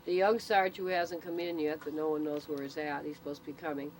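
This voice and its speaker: A woman's voice: little pitch movement, fairly quick, a little mellow. A middle-aged woman chatting casually, explaining things in an anecdotal storytelling way. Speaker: interviewee, CB club member. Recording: noisy amateur recording with vehicle rumble.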